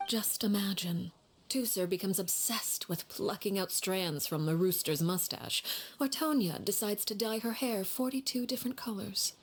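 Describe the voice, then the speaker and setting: An actress playing a poised, formidable woman in an anime game, measured and formal with a breathy, politely menacing manner. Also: medium pitch, a little hiss or hum, lightly acted. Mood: dryly amused. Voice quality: breathy, husky. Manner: measured, formal, dryly knowing.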